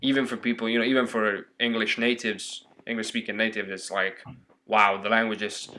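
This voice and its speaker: Podcast host, male, bright tense tone, loose rambling delivery. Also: lowish voice, brisk. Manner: relaxed, rambling with fillers. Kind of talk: casual interview chat.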